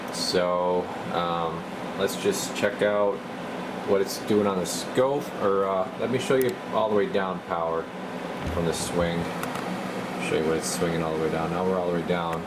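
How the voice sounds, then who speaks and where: A man working as a CB radio technician, talking casually at his workbench with easygoing pauses and frequent fillers. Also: deep voice. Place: repair shop workbench. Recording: loud steady fan hum behind.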